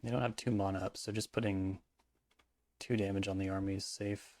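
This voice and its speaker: A man casually commentating his own card game match, thinking aloud in mumbled asides, his voice hoarse and cracking at times. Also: low-pitched, level tone, a little fast, darkish tone, no room sound.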